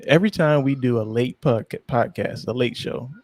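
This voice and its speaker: A man co-hosting a podcast in casual chat, rambling, low-key and sleepy-sounding, often losing his train of thought. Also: normal speed, darkish tone, no echo. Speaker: podcast co-host.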